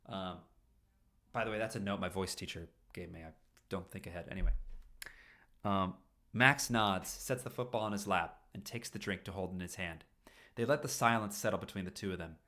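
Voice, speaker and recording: Male story reader, informal live narration, low but forceful voice, prone to self-interrupting asides. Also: moderate pace. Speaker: streamer reading fan fiction aloud.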